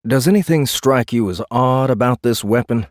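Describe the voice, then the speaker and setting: An actor playing a steady, probing renegade space marshal, protective and dryly teasing, speaking in a measured, coaxing way in audiobook drama dialogue. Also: male voice, mid-range voice, no room sound, clean studio sound, lightly acted.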